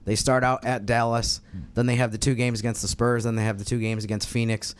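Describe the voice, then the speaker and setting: Male sports podcast co-host from New York, mid-pitched, wry and conversational. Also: little pitch movement, a little fast, clean tone. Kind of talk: casual sports roundtable chat.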